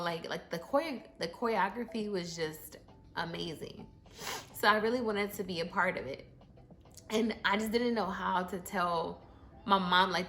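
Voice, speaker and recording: Chatty woman in her thirties telling a story. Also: on the low side. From Arizona. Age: 30s. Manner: animated storytelling with many fillers. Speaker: vlogger. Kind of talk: story-time vlog.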